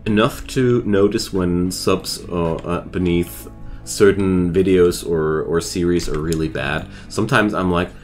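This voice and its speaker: A man's voice: low-pitched, a little slow, faint music behind. A man who is not a native English speaker, chatting casually and rambling with viewers while streaming a game. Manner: rambling and chatty, restarts thoughts.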